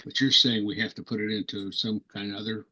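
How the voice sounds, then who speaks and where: A man in the audience asking the presenter polite, hesitant questions about the software. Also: fairly low pitch, little pitch movement, fast, husky, muffled recording. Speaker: club member asking questions.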